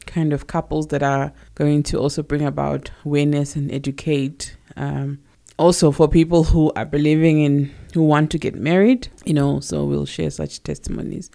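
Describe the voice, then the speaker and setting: A woman's voice: deep voice, level tone, normal speed, creaky, dark tone. A woman from co-hosting a casual podcast, talking in a chatty, hesitant way. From South Africa. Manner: chatty, hesitant, many fillers and restarts. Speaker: podcast co-host.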